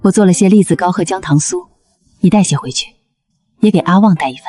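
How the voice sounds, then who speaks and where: A woman playing a gentle, caring dowager consort and mother in a historical drama. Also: on the low side, quick pace, natural voice. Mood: tender concern. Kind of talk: dubbed historical drama acting.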